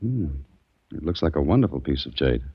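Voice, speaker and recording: A man's voice: rumbling low, very animated, a little fast, husky, dry recording, full character voice. An actor playing a curious, wry male adventurer who also narrates, casual and probing, in 1940s radio style. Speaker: narrator and lead. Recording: dull dry old radio recording.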